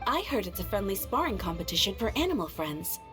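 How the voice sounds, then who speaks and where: A woman's voice: medium pitch, very animated, fairly bright tone, soft background music. A woman playing a composed, formal and helpful receptionist at an adventurers' guild in a video game. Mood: courteous, informative. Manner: polite, formal, measured explanation. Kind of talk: video game character acting.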